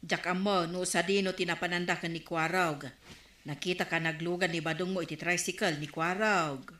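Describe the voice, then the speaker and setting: A woman's voice: fairly low pitch, steady tone, normal speed, a bit bright, lightly acted. A woman playing a blunt, chatty village gossip in an Ilocano radio comedy drama, with an Ilocano Filipino accent. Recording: roomy, echoing studio sound.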